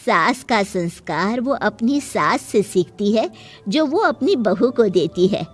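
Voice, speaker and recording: Woman as chatty, wise mother, unhurried pace. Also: mid-range voice, very animated, soft background music. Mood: wise, advising. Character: chatty, wise middle-aged mother of the bride. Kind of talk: Hindi moral cartoon story acting.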